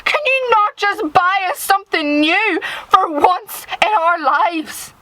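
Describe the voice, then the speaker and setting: A high-pitched Northern Irish schoolgirl, played by a young woman, tells her story in a sardonic, sweary stage monologue. She talks conversationally and mimics others, over clear background noise in an amateur location recording. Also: a little slow, a bit bright, poor audio. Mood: angry, pleading. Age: young adult. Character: sardonic working-class Northern Irish schoolgirl recalling teenage humiliation. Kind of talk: theatrical monologue.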